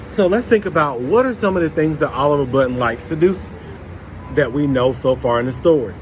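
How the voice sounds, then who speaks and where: A man with a slightly high voice reads a children's picture book aloud. He stops to prompt young listeners with questions. There is some ambient noise behind him. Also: animated, brisk, rough recording. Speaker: storybook reader for children.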